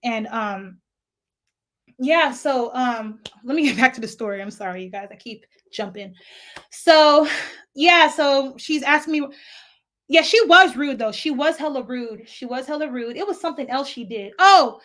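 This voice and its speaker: High-voiced young woman spilling gossip. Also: measured pace. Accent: faint Nigerian. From San Francisco Bay Area, US. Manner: chatty, animated, digressive, profane. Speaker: vlogger. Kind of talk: casual storytime vlog.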